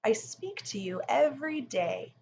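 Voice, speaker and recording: A woman's voice: a little high, sing-song, measured pace, darkish tone, voice pushed up. A woman voicing a friendly, curious female pet puppy in a read-aloud storybook. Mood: eager. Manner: storybook character voice. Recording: muffled with faint background noise.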